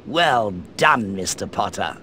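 An actor playing an eccentric, eerie old British wand maker in a video game, speaking in a theatrical, courteous, drawn-out way. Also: male voice, fairly low pitch, animated, pushed and bright, a little hiss or hum, heavily acted. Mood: pleased. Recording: game music behind the voice.

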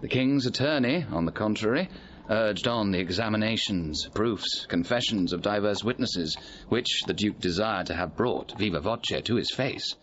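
An actor playing a grave gentleman recounting court news in measured Shakespearean drama style. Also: lowish voice, muffled recording, a little hiss or hum, natural voice. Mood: grave, informative. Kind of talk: Shakespearean radio drama acting.

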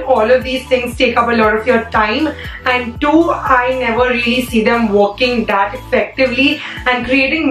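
A young woman talks in a hoarse, fairly high voice, chatting casually to her viewers with plenty of "like" fillers. She sits a little back from the mic in an echoey room, with faint music underneath. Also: recorded from a distance. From India. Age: young adult. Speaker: beauty vlogger.

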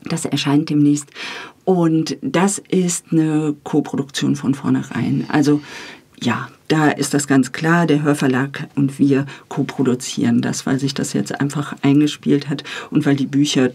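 A middle-aged woman with a slightly husky voice, talking about her work as a guest in a studio interview. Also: low voice, fairly even intonation, measured pace, darkish tone. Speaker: interview guest, radio drama editor and director. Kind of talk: interview talk about radio drama work. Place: recording studio.

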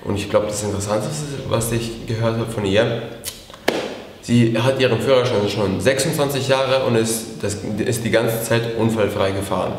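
A man's voice: on the low side, moderate pace, background noise. An eighteen-year-old man from Latvia answering interview questions casually, hesitant, with frequent fillers. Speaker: camp participant. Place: indoor room against a bare wall. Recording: echoey room with long reverb.

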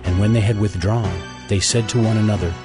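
Low-voiced male Bible narrator over music. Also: fairly even intonation, brisk. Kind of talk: scripted narration of a dramatized Bible.

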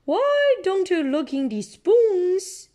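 A woman voicing a cheerful know-it-all father pig from a children's storybook, explaining things in a deliberate, mildly pompous way. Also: very high-pitched, sing-song, mellow, pitched above the performer's own voice, full character voice.

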